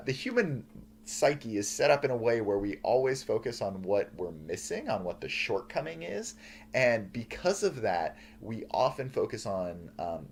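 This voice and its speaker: A young man lectures in a loud, booming professor's voice. His voice sits on the low side and swings lively up and down, with plenty of "uh" and "um" along the way. A faint hum runs underneath. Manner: earnest, lively, frequent "uh" and "um" fillers. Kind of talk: lecture on giving feedback.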